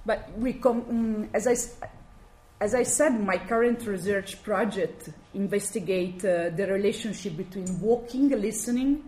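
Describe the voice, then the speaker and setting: Slow, hesitant Italian-accented woman. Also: mid-range voice, mellow. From Italy. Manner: halting, searching for words with many fillers. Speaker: guest lecturer. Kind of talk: academic talk. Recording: echoey room, faint background noise.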